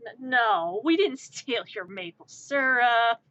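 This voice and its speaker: A sheepish, stammering America , played by a woman dodges the blame in a higher voice. The excuses trip over each other. The audio is dull and muffled. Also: lively intonation, slow, fairly bright tone. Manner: stammering, evasive.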